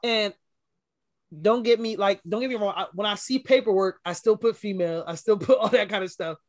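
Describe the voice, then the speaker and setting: A woman with a deep voice, co-hosting a podcast and talking candidly in casual chat, with animated storytelling. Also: a little fast. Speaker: podcast co-host.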